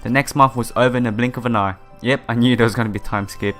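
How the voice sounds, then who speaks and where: A man's voice: mid-range voice, steady tone, fast. A man hosting a casual let's-play, reading game text aloud and adding informal asides. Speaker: gaming video host. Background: soft game music.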